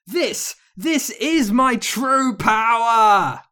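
A menacing storybook witch, acted out by a male narrator, pitched far above a normal male register. The delivery is grandiose and declamatory, gloating over every threat with big melodramatic swings. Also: lively intonation, extremely slow, bright, forceful tone, clean tone, shouting, heavily acted.

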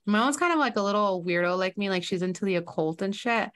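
A woman co-hosting a podcast in casual chat, talking in rambling, animated bursts. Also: medium pitch, quick pace. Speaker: podcast co-host.

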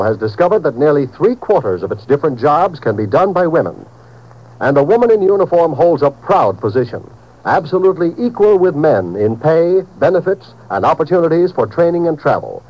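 An American man working as a radio announcer, reading a script in a formal, earnest 1950s broadcast style with measured emphasis. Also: a little high. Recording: dull, noisy vintage radio recording.

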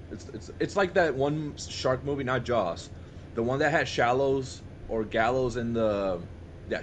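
A man's voice: mid-range voice, slight background noise. A young man chatting casually and enthusiastically with friends as a podcast co-host, often restarting and repeating himself mid-thought. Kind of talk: casual chat among friends.

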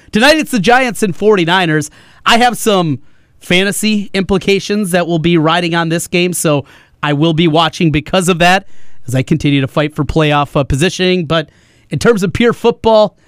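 Higher-voiced male sports radio host. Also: no room sound, clean studio sound. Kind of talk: sports talk banter and commentary. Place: radio studio. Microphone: close studio broadcast microphone.

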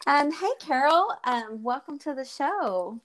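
A woman co-hosting a casual podcast, friendly and upbeat, with quick agreeing interjections. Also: high-pitched, expressive, fairly bright tone, slight background noise, poor audio. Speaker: co-host.

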